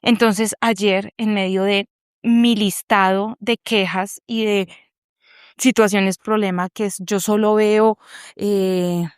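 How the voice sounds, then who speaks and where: A woman's voice: mid-range voice, fairly even intonation, slow pace, clean tone, no echo, studio quality. A woman speaking earnestly and reflectively over a video call, giving a personal faith testimony to an online group and searching for her words as she goes. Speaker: group member sharing a testimony.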